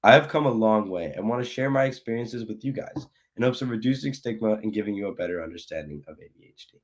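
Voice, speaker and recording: Young male speaker, hoarse, low voice, earnest talk. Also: fast. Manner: earnest, apologetic, acts out his own thoughts. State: hoarse, losing his voice. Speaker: guest speaker. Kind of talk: personal talk in a presentation.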